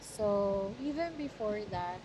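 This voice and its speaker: A woman from the Philippines, a native Tagalog speaker with a Batangas Tagalog accent, chatting at length over a voice call and explaining things in a relaxed way. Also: mid-range voice, takes it slowly, background noise. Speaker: girlfriend on a call. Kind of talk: casual chat.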